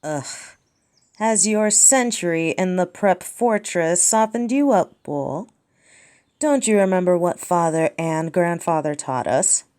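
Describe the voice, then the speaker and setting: A woman's voice: lowish voice, takes it slowly, darkish tone. A woman playing a haughty, mocking dragon princess, a destructive little sister, in an ASMR audio drama. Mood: taunting. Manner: sarcastic, drawling, taunting.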